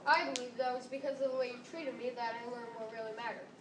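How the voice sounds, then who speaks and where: A woman's voice: fairly high pitch, fairly even intonation, fast talker. A young teenage girl delivering scripted readers theater lines as a student performer. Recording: echoey room, distant mic, background noise.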